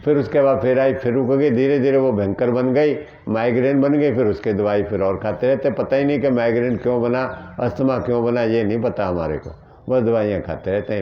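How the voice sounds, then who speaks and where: Guy teaching natural healing, earnest, explains stuff plainly, middle pitch, kinda muffled recording. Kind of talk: teaching talk answering questions.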